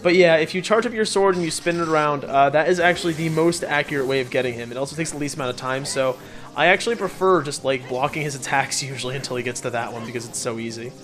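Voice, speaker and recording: A man's voice: a little high, fast, fairly bright tone. A man doing casual, joking game commentary as the host of a let's play. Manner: joking, bantering. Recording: game music behind the voice. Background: video game music.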